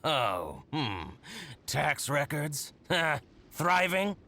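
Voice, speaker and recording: A man's voice: fairly high pitch, sing-song, very slow, pushed and bright, full character voice. A man playing an indignant, scheming shopkeeper in a video game, theatrical and grumbling, full of exclamations. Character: scheming, indignant male shopkeeper jealous of his rival. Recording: background game music under voice.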